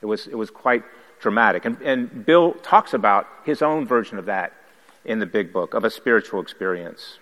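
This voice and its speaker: A man's voice: lowish voice, lively intonation, a bit bright. Earnest man speaking in a reverberant hall. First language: Haitian Creole. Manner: earnest, reflective, measured. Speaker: priest and pastor. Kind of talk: personal recovery testimony.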